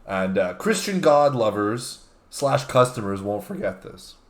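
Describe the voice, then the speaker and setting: A man with a fairly low voice talks casually as a guest on a comedy show. He reads posts aloud and explains them haltingly, sometimes apologetically. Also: sing-song. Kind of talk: casual banter, reading out tweets.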